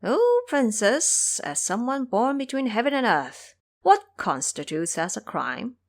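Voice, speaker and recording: A woman's voice: fairly high pitch, sing-song, a little slow, voice pushed up. A woman voicing a cocky, teasing male monkey king in an audio drama, boastful, preachy and theatrical in manner. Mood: mocking.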